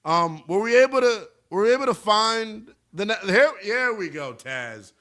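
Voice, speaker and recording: Lively, bright-voiced sports radio host. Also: male voice, sits high, moderate pace. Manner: animated, joking, teasing banter. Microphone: studio microphone.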